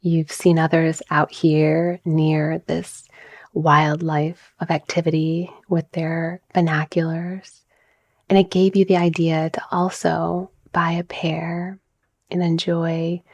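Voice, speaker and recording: Soft, slow, hushed woman narrating relaxation. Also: low-pitched, flat delivery, no room sound. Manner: soft, breathy, soothing, gently measured delivery. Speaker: guided meditation narrator, mindset coach. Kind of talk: calming guided visualization, scripted relaxation narration.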